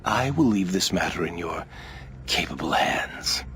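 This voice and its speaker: An actor playing a smooth-talking, calculating middle-aged official in a video game, speaking in a measured, formal way. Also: male voice, low voice, lively intonation, fairly bright tone, faint music behind. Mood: condescending, composed. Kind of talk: video game cutscene acting.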